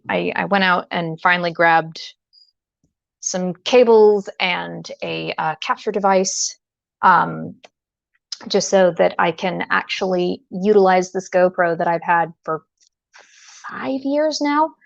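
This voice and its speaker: Lady streamer rambling playfully while she fiddles with gear, bit of vocal fry, kinda muffled audio. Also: on the low side, slow, no echo. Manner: chatty, rambling, hesitant, playful asides. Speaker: livestreamer, host. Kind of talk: casual livestream chat while tinkering with gear.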